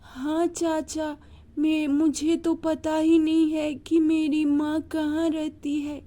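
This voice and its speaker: A woman's voice: high voice, completely flat delivery, slow pace, dark tone, pitched above the performer's own voice. A woman voicing a young, injured male bird child who is sad and self-sacrificing, speaking in a plaintive, earnest way for a storybook tale. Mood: meek.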